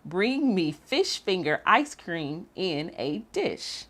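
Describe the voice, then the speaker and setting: A woman's voice: fairly low pitch, very animated, takes it slowly, a bit bright, full character voice. A woman voicing a male sea captain's cat who orders grandly in a children's storybook. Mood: eager.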